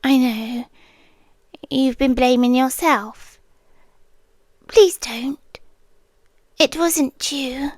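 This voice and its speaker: A British woman voicing a possessive female guardian angel in an ASMR roleplay, half-whispering softly and coaxingly into a close microphone. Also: sits high, no room sound. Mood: wounded, possessive. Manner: soft, intimate half-whispering; calm, coaxing and slow.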